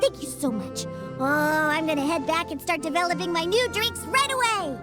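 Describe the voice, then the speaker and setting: Feisty, high-pitched cat-girl bartender. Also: moderate pace, over loud music. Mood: amazed, thrilled. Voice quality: girlish. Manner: cheeky, dramatic. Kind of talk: scripted game dialogue.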